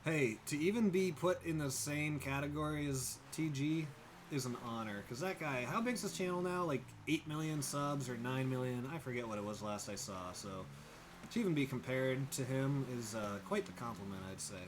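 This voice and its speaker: A man's voice: medium pitch, moderate pace, background noise. A young man livestreaming a driving game, chatting casually, thinking aloud and thanking viewers by name. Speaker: streamer. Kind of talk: casual livestream chat while gaming.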